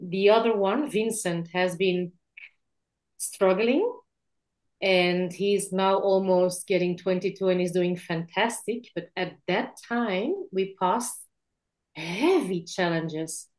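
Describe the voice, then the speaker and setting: A woman's voice: lowish voice, slow. Belgian-born woman telling her story. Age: 40s. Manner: warm, lively storytelling, adds "yeah?". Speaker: guest speaker. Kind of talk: online interview, personal story. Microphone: video call.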